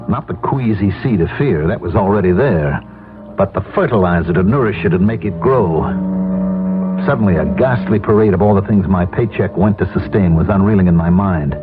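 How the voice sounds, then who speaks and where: An anxious, brooding middle-aged American executive in a 1970s radio drama. His voice is very low and worried, with halting phrasing, on a muffled old recording with prominent music behind. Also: expressive. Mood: anxious, panicky.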